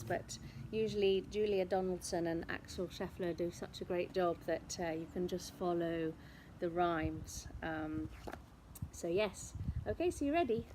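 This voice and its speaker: A woman storyteller reading a picture book aloud in an expressive, theatrical way, drawing out words. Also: mid-range voice, noisy background. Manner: theatrical, with drawn-out words and sound effects. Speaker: children's storyteller.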